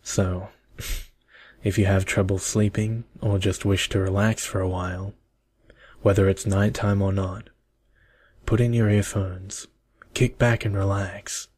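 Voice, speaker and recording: A young man speaking in a soft, measured, soothing ASMR style, as if for a bedtime story. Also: deep voice, level tone, dry recording. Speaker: video creator reading a bedtime story.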